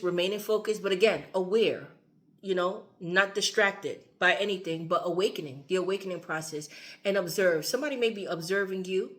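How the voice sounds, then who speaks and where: A woman giving a loose, rambling tarot reading, her voice a little strained as she starts to lose it. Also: medium pitch. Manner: loose and repetitive, fillers and asides. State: losing her voice. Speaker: tarot reader.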